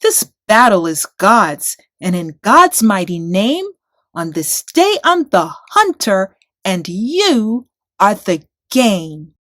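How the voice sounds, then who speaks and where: A woman's voice: mid-range voice, sing-song, slow, a bit bright, no echo, clean studio sound, full character voice. A woman voicing a tiny, spunky, fearless shepherd boy in a rhyming children's storybook, bold and declamatory. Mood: defiant, shouting.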